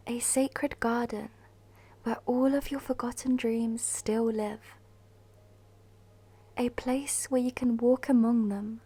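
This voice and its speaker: Female meditation guide: mellow, breathy half-whisper, even pitch, soothing scripted delivery with long pauses. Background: music.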